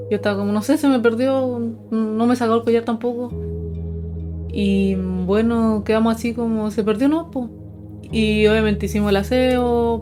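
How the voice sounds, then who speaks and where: A young adult woman telling personal stories to her viewers in a casual, conversational, explanatory way. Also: a little high. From Chile. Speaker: paranormal storytelling video host.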